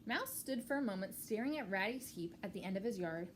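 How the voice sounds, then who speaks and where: Animated woman reading a children's story. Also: medium pitch, brisk, noisy background. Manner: clear, simple explaining with repetition for small children. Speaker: children's story-time reader and teacher. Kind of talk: expressive picture-book reading with simple explanations for young children.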